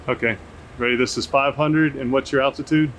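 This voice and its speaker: A man's voice: medium pitch, fairly quick. A man hosting a casual field test, talking off the cuff outdoors into a camera microphone. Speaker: drone tester and video host. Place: outdoors under an open shelter at an airfield. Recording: noisy outdoor camera audio, steady ambient hum.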